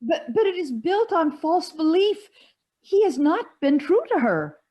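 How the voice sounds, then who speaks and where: Woman playing a high, fussy society gossip. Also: big pitch swings, unhurried, dull audio, exaggerated voice. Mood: scandalized. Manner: indignant, questioning, theatrical. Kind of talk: stage acting in an online one-act play.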